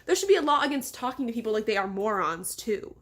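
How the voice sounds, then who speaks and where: A feisty storybook girl of nearly twelve, read by a woman, with a raised pitch. She speaks up with sharp, indignant emphasis. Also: measured pace.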